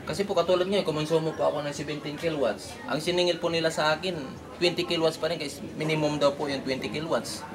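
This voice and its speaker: A man's voice: a little high, fairly even intonation, quick pace, crowd noise. A middle-aged Filipino man giving earnest, polite testimony as a resident, speaking plainly and respectfully. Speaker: relocation site resident being interviewed. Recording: very noisy location recording. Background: loud background noise.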